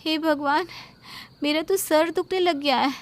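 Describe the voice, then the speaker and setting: High-pitched, exasperated young daughter-in-law voiced by a woman. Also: a little slow, a little hiss or hum. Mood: fed up. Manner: complaining, indignant. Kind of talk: Hindi cartoon story acting.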